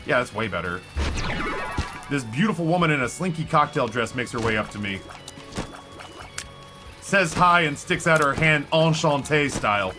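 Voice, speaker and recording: Chatty streamer with a radio voice. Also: male voice, a little high, lively intonation, moderate pace, pauses often. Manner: rambling, self-deprecating, talks nonstop. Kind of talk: casual chat while playing a video game. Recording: music playing clearly behind.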